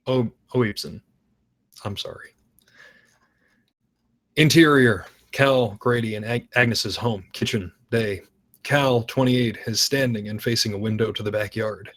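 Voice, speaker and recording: A man reading out stage directions as narrator at a script table read. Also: mid-range voice.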